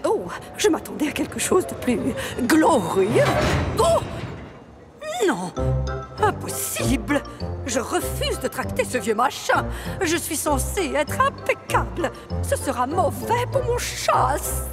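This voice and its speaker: High-pitched, haughty railcar diva. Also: female voice, wildly swooping pitch, slow pace, over music, full character voice. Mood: appalled. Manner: pompous, theatrical, affected. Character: vain, fussy, haughty female railcar, dramatic diva. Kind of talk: French children's cartoon dub.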